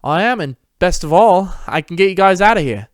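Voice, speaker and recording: Man as teenage schoolboy wizard, confident, bold, pitched up, storybook character. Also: lively intonation, brisk, no echo, clean studio sound. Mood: confident, brisk.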